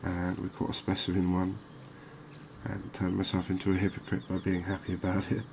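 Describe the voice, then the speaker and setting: A soft-spoken man giving unhurried, soothing ASMR commentary over a video game. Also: low voice, completely flat delivery, gravelly, background noise. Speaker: gaming video host.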